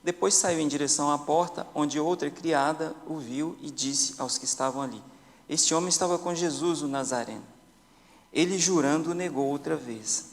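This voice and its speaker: A man with a Brazilian Portuguese accent teaching a church Bible class, his voice slightly high for a man. He reads verses and asks questions, pausing on fillers. The sound rings through a reverberant church hall. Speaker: Sabbath school teacher. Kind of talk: Bible study discussion. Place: church sanctuary.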